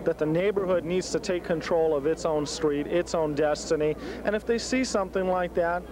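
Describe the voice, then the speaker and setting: A man's voice: on the high side, moderate pace, crowd noise. An American man speaking in an unscripted news interview, exhorting in repeated phrases. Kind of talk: unscripted interview sound bite.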